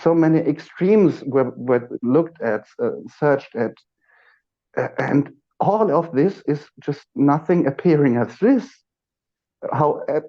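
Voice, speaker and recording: A man as an interview guest, speaking reflectively about spiritual ideas, searching for words with many pauses and restarts. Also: on the high side, lo-fi sound. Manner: halting, searching for words, with frequent fillers and restarts. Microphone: video call.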